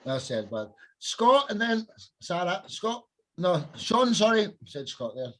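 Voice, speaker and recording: A male podcast host with a high voice, upbeat and brisk. He hands the word around and praises each guest in a few words. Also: very animated, fairly bright tone, a little hiss or hum. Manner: upbeat, repeats short praise. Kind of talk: hosting a guest round.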